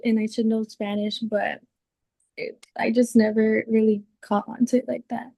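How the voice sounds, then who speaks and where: A young woman from Southern California, sharing her background in an earnest, steady way. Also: on the high side, no echo, poor audio. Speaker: panel guest. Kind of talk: casual personal story.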